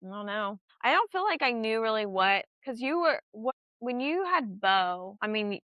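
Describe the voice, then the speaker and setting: A woman's voice: fairly high pitch, very animated, normal speed, dry recording, lo-fi sound. A woman in her thirties chatting casually, hesitant and full of "like" fillers. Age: 30s. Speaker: podcast co-host. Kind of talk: casual chat.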